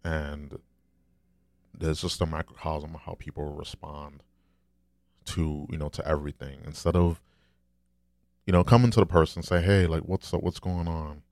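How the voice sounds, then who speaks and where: A man hosting a solo podcast, talking in a deep, soft voice with a rambling, conversational manner and many restarts. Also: fairly even intonation, normal speed, pauses often, no echo, studio quality. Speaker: podcast host.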